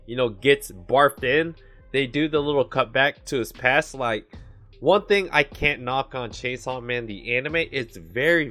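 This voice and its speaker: A man giving casual anime commentary, chatty and full of "like" and "you know", who calls his own voice monotone. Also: mid-range voice.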